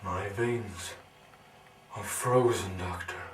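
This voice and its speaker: An actor playing an anguished, brooding scientist villain in a theatrical monologue, grandiose and melancholy, with halting dramatic pauses. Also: male voice, deep voice. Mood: bitter, anguished. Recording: roomy, distant, slightly noisy recording.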